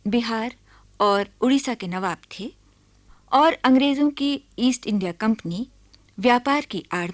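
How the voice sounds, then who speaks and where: A woman announces a radio play in formal, scripted style. Her voice is fairly high, recorded close and dry in a studio. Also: dry recording.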